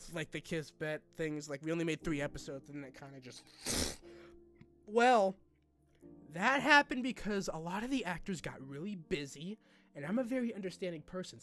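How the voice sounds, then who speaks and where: A young man with a slightly high voice talks casually to his online followers. He rambles and halts, rephrasing in everyday slang as he goes. Faint music plays behind him. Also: a bit bright. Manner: halting, rambling, colloquial. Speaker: online video creator. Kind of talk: informal personal vlog, talking to subscribers.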